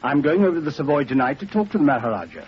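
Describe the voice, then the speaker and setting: An actor playing a polite, deferential private detective in a 1940s radio drama, with an earnest delivery. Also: male voice, a little high, very animated, very fast. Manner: courteous, earnest. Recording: muffled, hissy 1940s radio recording.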